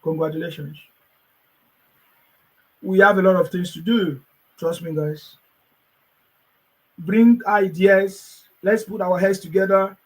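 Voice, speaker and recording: Hoarse man, high and slow. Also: steady tone, darkish tone, poor audio. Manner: joyful, repetitive, exhorting. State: voice worn from chanting. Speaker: political supporter addressing followers. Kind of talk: excited celebratory address.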